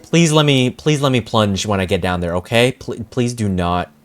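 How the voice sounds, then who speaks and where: A young man giving casual game reaction commentary as a streamer, excitable and full of slangy exclamations. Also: lowish voice, normal speed.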